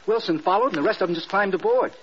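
An actor playing an eager, frank air force officer and loyal subordinate in a vintage radio drama, earnest and informal. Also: male voice, high voice, expressive, fast. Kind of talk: 1940s-50s radio drama acting. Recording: muffled, hissy old radio recording.

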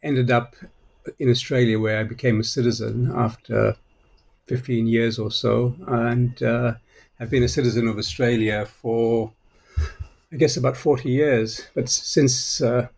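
A man from Malaysia in his sixties, a political theory professor answering interview questions haltingly, with many pauses. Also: medium pitch, flat delivery, gravelly, darkish tone. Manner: hesitant, many fillers and restarts.

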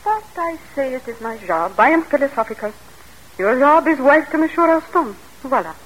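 French maid, lightly high voice. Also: female voice, expressive, moderate pace. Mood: soothing, resigned. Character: kindly, philosophical French lady's maid. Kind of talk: old-time American radio drama acting. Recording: noisy vintage radio broadcast.

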